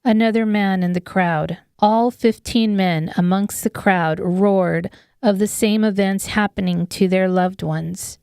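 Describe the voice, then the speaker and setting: Smooth, measured woman narrator. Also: mid-range voice, steady tone, mellow, dry recording, studio quality. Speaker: author narrating her audiobook. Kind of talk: scripted story narration.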